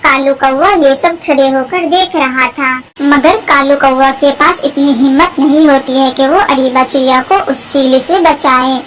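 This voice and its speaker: A woman narrates a children's bird story in a high voice. The delivery is scripted storytelling, recorded with faint noise behind it. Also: steady tone, measured pace, few pauses, a bit bright, rough recording.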